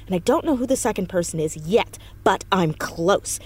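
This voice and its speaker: An American teenage girl sleuth in an audio drama, mid-pitched for a female voice. She is earnest, confident and articulate, laying out her reasoning in long, eager sentences. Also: lively intonation, normal speed, a little mellow, husky. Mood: intent, confident. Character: precocious fourteen-year-old girl detective, eager and confident.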